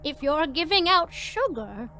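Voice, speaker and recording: Very high, croaky old lady. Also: sing-song, a little slow, bright, forceful tone, exaggerated voice. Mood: sweet, coaxing. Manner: sweetly polite, fussing. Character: sweet old babushka neighbor lady, croaky.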